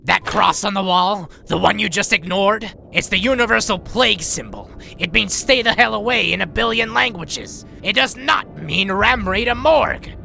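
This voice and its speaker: A man playing a grumpy, sardonic veteran robot medic in an audio drama, with a gravelly voice and a dry, blunt manner. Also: sits high, normal speed, sharp tone, faint music behind, exaggerated voice. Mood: exasperated. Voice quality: gravelly, creaky.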